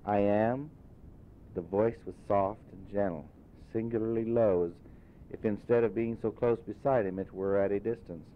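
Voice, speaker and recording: A man narrating a literary story as an audiobook reader, in deliberate phrases. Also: steady tone. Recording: muffled phone-like audio, rumbling noise.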